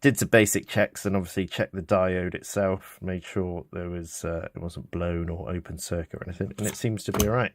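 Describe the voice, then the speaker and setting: A man's voice: sits low, steady tone, a little fast, few pauses. A British man giving a casual, unscripted hands-on demonstration, thinking aloud in a hesitant way. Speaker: hobbyist repair presenter. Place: indoors, small office.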